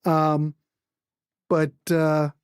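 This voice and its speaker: A woman's voice: deep voice, little pitch movement, extremely slow, darkish tone, clean tone, no echo, clean studio sound. A woman hosting a music show, chatting casually about records in a rambling, jokey, informal way with viewers.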